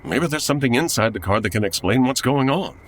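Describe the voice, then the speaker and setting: A man's voice: medium pitch, animated, quick pace, a bit bright, a little hiss or hum, natural voice. An actor playing a stuffy, bewildered and irritable man in a parody of old-time radio, fussy and indignant.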